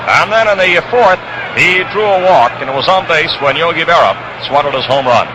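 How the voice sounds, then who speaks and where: An American man calling baseball play-by-play on 1960s radio, measured, with suspenseful pauses, on a noisy old broadcast recording. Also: on the high side, animated, highs cut off. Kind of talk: 1960s radio sports commentary. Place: ballpark broadcast position. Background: crowd hum, noise.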